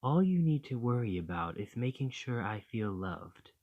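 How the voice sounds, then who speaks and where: A man's voice: medium pitch, big pitch swings, normal speed, narrow, tinny audio. A man playing an affectionate, slightly possessive dragon boyfriend in an ASMR romantic roleplay, speaking tenderly and reassuringly. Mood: tender, adoring.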